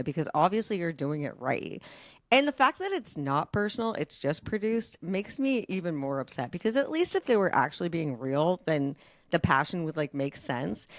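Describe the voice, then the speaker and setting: Female podcast panelist, lively swooping intonation, emphatic and opinionated, dry close recording. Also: sits low, no room sound. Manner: emphatic, blunt, swears casually. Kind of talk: casual, opinionated chat.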